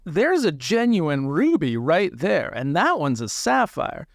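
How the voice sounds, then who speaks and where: A man voicing an eager, wheedling shopkeeper of curios in an improvised tabletop game, full of hesitant, flattering sales patter. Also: a little high, a little slow, vocal fry, no echo, studio quality, heavily acted. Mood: smooth sales pitch.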